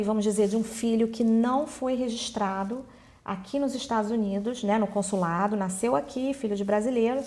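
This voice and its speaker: A woman's voice: on the high side, a little mellow. A woman with a Brazilian Portuguese accent hosting a conversational interview, agreeable and confirming what is said. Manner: agreeable, often echoes and confirms the guest. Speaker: interview host, immigration lawyer.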